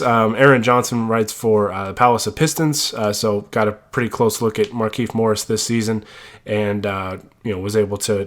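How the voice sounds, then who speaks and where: Stuffy-nosed man hosting a podcast. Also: on the low side. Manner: rambling with frequent fillers and restarts. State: stuffed up with a cold. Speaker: podcast host. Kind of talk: casual sports podcast talk.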